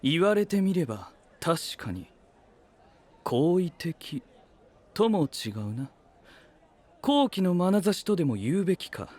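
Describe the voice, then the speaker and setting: A man's voice: high-pitched, big pitch swings, slow pace, long pauses, a little mellow, heavily acted. A man playing a cool, analytical young knight in an anime-style voice drama, with formal, dry and observant phrasing. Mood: dry observation. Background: music.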